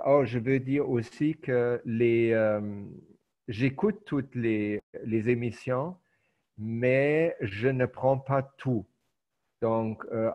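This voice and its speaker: A middle-aged man whose first language is German, giving an online lecture in a hesitant way with many filler pauses. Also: medium pitch, darkish tone. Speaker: teacher. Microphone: video call. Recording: muffled video call audio.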